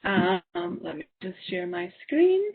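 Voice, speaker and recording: A woman's voice: on the low side, very animated, takes it slowly, slight background noise. A young woman from the Isle of Lewis with a Scottish accent, giving an online seminar talk, thoughtful and full of ums. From Isle of Lewis, Scotland. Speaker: seminar speaker. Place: small room at home. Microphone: laptop webcam on a video call. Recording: tinny phone-like video call.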